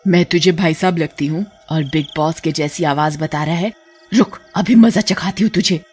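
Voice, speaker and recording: A woman's voice: low voice, a little mellow, husky, faint music behind. Woman putting on a menacing, gleeful cackling witch. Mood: offended, threatening. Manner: taunting, drawn-out words, cackling. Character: menacing, gleeful female man-eating witch.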